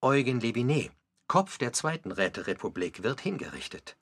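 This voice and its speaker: A man narrating a scripted radio documentary drama in a husky voice. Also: medium pitch, sing-song, normal speed, a bit bright, exaggerated voice. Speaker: narrator and commentator.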